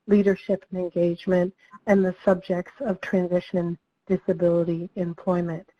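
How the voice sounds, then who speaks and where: A slightly hoarse woman, a project director, welcomes people to a training webinar and gives an overview, friendly and with frequent ums. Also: fairly low pitch, mellow, dry recording, highs cut off. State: slightly hoarse, losing her voice.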